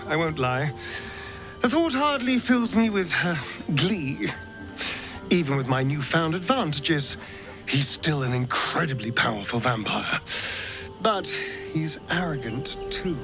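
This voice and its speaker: An actor playing a wry, flamboyant vampire elf rogue in a video game, drawling and theatrical, anxious yet scheming, with music behind him. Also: male voice, a little high, animated, takes it slowly, pauses often, low-quality recording. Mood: wry unease. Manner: theatrical, drawling, hesitant asides. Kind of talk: video game character acting.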